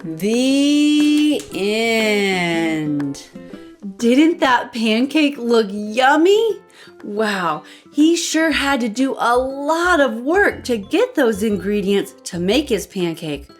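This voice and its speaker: Female children's read-aloud host: expressive storytelling, unhurried delivery, highly varied pitch, faint music underneath. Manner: expressive, addressing child listeners with questions. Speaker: children's book reader and host. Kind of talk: animated read-aloud narration for young children. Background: light background music.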